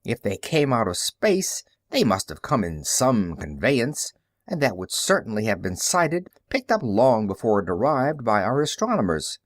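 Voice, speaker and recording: A man's voice: medium pitch, big pitch swings, moderate pace, no room sound, studio quality. A man voicing a young male newspaperman in an audiobook, earnest, skeptical and matter-of-fact, explaining with emphasis.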